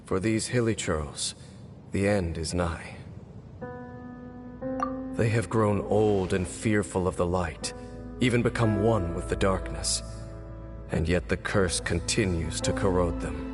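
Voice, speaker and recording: An actor playing a grave, world-weary, aloof warrior in a video game, speaking in a solemn, measured, formal way. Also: male voice, sits low, fairly even intonation. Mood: somber. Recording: long reverb, loud game music behind.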